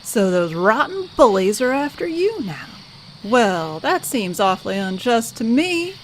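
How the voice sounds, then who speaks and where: Woman as a high-voiced squirrel innkeeper. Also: very animated, a little slow, a little hiss or hum, low-quality recording. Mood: sympathetic. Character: folksy, hospitable squirrel hotel keeper, briskly protective. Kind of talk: audio drama character acting.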